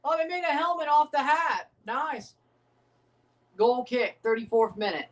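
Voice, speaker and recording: A man hosting a livestream watchalong, chatting casually and excitably, with a voice gone a little scratchy from overuse. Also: very high-pitched, measured pace, far from the mic. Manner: rambling, excitable, repeats himself. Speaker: streamer hosting a match watchalong.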